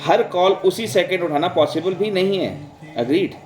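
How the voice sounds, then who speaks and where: A man's voice: high voice, moderate pace, darkish tone, faint music behind. A man narrating in a conversational, reflective way, speaking directly to listeners and mixing in English words. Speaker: vlog host and storyteller. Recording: roomy, echoing sound.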